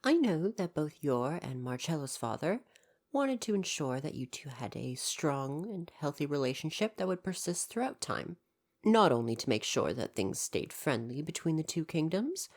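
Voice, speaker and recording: Woman acting as royal butler, slightly low female pitch, very lively intonation, formal, deferential, devoted, parental, ASMR roleplay. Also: normal speed, exaggerated voice. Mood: attentive, fond. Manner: formal, deferential, precise wording.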